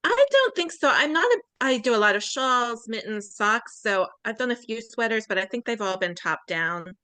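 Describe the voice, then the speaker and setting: A woman's voice: a little high, lively intonation, normal speed, pushed and bright, dry recording. A woman joining a knitting video call, chatting casually and laughing between sentences. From Pennsylvania, USA. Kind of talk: casual chat.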